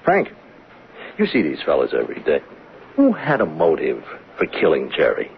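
An actor playing a patient, probing police lieutenant in a 1970s radio drama, with informal, unhurried questioning. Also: male voice, a bass voice, huge pitch swings, gravelly, exaggerated voice. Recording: dull, hissy old radio recording.

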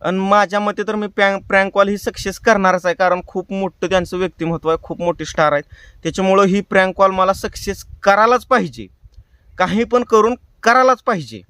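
Guy w high smooth voice, village maharashtra marathi accent, chatty prank call host, dry close sound. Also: fairly quick, a bit bright, dry recording. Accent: Marathi, rural Maharashtra. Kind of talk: casual commentary to viewers and prank calling.

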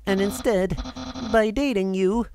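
A woman voicing a pompous, earnest male skeleton from a video game, theatrical and halting. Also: medium pitch, mellow, natural voice, low-quality recording. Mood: apologetic, melodramatic. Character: pompous, dramatic, earnest male skeleton, lovable self-declared great friend. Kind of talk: video game character voice-over.

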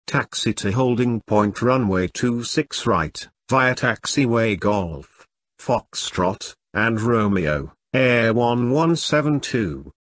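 Male voice for pilot phraseology lesson, mid-pitched, unhurried, formulaic delivery. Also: animated, no room sound, clean studio sound. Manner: measured, formulaic. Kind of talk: scripted radio phraseology readback.

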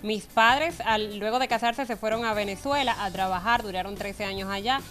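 Woman, TV guest, mid-pitched, soft-spoken, faint music behind. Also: normal speed. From Dominican Republic. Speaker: interview guest. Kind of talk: conversational interview.

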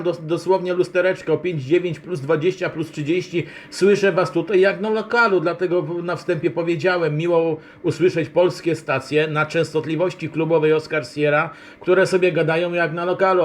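A man with a somewhat high-set voice chats as an amateur radio operator. His delivery is casual and rambling, with a friendly way of greeting fellow operators. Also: steady tone, moderate pace, hardly any pauses. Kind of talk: casual radio contact chat.

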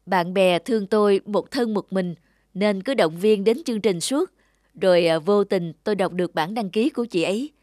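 A man's voice: high voice, darkish tone, dry recording, studio quality, lightly acted. Man acting a courteous, sincere cartoon businessman. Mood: modest, sincere. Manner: polite, measured, sincere. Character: courteous, sincere middle-aged businessman, gentle suitor. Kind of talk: animated moral drama acting.

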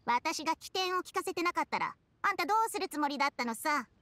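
Bossy, high-pitched talking snake. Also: female voice, animated, fast talker, sharp tone, no room sound. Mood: complaining, scolding. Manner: blunt, scolding. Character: bossy, grumbling female talking snake companion. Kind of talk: Japanese anime game acting.

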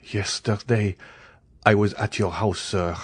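A man's voice: fairly low pitch, steady tone, takes it slowly, breathy edge. A man reading the part of an angry young viscount who trembles with fury. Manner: furious, tense, accusing.

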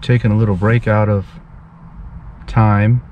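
A man in his thirties speaks slowly and earnestly, with a preacher's formal, pious turn of phrase and a fairly low voice. He talks into a camera from the seat of a parked car. A faint hum of noise sits behind him. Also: flat delivery, narrow, tinny audio. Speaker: street preacher. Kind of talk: casual vlog with preaching asides. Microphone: dash camera in front of him.

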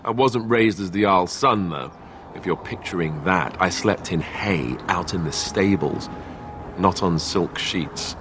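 A man's voice: low voice, measured pace, noisy background. A man acting a young, self-deprecating, wounded royal warrior in a fantasy video game, speaking in a wry, confessional way. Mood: bitter, wistful.

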